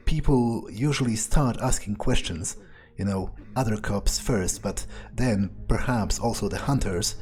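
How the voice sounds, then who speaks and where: A man's voice: mid-range voice, a little mellow, husky. A man voicing a scheming, condescending vampire in an improvised game playthrough, thinking aloud with hesitations. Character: scheming, condescending male vampire, reluctant servant of a prince.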